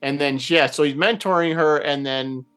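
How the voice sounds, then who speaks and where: Man chatting on a panel, halting and repetitive. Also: on the high side, fairly bright tone, clean tone, faint music behind. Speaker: panelist in a TV series discussion. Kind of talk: casual roundtable discussion.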